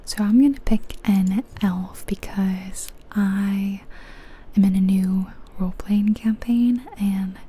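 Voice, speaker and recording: A woman whispering breathily and gently close to the mic in an unhurried, chatty ASMR game commentary. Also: mid-range voice, steady tone, breathy edge. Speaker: ASMR video game streamer.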